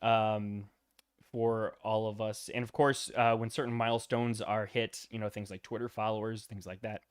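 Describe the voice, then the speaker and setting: Male stream host, worn out and going hoarse, easygoing rambling chat. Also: on the low side. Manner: loose and rambling, many ums and uhs, greets chat by name. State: tired and losing his voice. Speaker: livestream host. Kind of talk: casual livestream chat.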